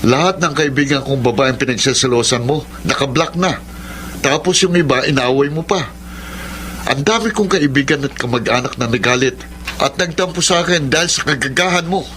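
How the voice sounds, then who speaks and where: A man's voice: medium pitch, normal speed, fairly bright tone, a little hiss or hum, natural voice. A man playing a weary, earnest young boyfriend in a Filipino radio drama, defensive when pushed, with a Filipino accent. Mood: exasperated, defensive. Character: weary, exasperated young boyfriend telling his love story. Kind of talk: Tagalog radio drama acting, letter narration. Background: background music.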